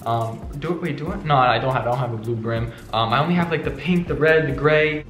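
A young man from New York showing his hat collection in a casual, chatty vlog, talking quickly with lots of fillers and slang. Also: mid-range voice, fairly bright tone, soft background music. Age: young adult. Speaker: fashion vlogger. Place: bedroom with shelves, indoors. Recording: echoey room.

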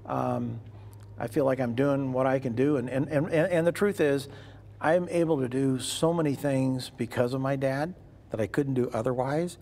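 Gravelly-voiced older man in an interview. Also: medium pitch, steady tone, normal speed, darkish tone. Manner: earnest, repeats words when starting a thought. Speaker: interviewee, farmer philanthropist. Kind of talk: sit-down interview. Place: large farm machinery shed.

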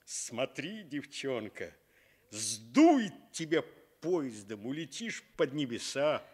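A man voicing a cheerful, teasing bearded soldier in a radio fairy tale, with hearty drawn-out exclamations. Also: mid-range voice, very animated, darkish tone, breathy edge, exaggerated voice. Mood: teasing warning.

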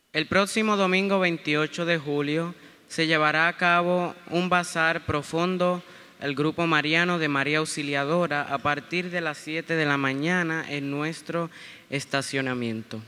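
Bright young man in a reverberant church. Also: a little high, steady tone, a little slow, smooth. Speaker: parish announcement reader. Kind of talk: read-aloud parish announcements. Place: large church, indoors. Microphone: microphone at lectern. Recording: reverberant large church hall.

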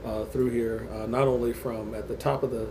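A man's voice: mid-range voice, level tone, moderate pace, a crowd in the background. A middle-aged man hosting a casual barbershop discussion, speaking articulately and stressing his points with lists. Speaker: host. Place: small indoor barbershop. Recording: noisy room, air conditioning hum.

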